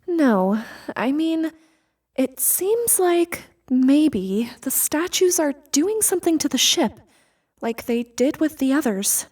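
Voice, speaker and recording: A woman's voice: high voice, animated, slow pace, darkish tone. A woman playing an uneasy, questioning crew member in a sci-fi audio drama, hesitant and musing but insistent. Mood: worried, speculating.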